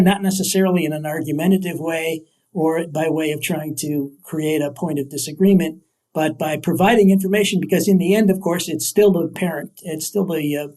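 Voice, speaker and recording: A man answering as an academic interview guest, building long, careful sentences with deliberate, hesitant phrasing. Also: fairly high pitch, a little mellow.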